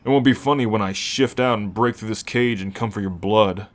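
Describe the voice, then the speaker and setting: A man playing a gruff, brooding teacher who is turning into a werewolf, halting and protective, in an ASMR roleplay. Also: on the low side, lightly acted. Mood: teasing. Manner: halting, sighing, sardonic.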